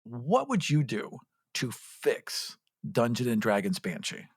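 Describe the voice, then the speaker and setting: A man with a medium-pitched voice co-hosts a casual discussion. He argues his opinions emphatically. The sound is dry and free of echo. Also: huge pitch swings, slow pace, husky.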